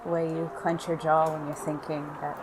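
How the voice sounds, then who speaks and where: An actor playing a suave, affectionate gentleman criminal in a noir audio drama, speaking in formal, droll, flirtatious phrases. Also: medium pitch, level tone, normal speed, noisy background, natural voice. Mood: tender, teasing.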